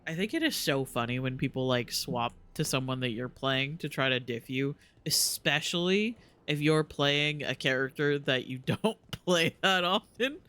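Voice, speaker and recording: A man's voice: on the high side, lively intonation, moderate pace, hardly any pauses, pushed and bright. A man giving casual live gameplay commentary as a streamer, affectionate and gushing, close on the microphone. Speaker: video game streamer.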